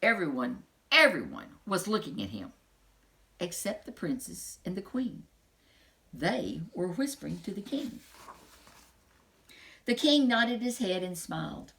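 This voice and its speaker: A woman storyteller reading a children's picture book aloud with expressive, dramatic phrasing. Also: on the low side, unhurried, gravelly, pauses often.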